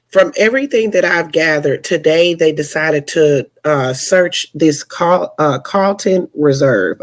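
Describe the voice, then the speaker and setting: A young woman hosting a news podcast, chatty and sassy, calling her listeners honey and sounding stuffy from a cold. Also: deep voice. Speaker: podcast host and news commentator. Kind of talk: casual news commentary podcast.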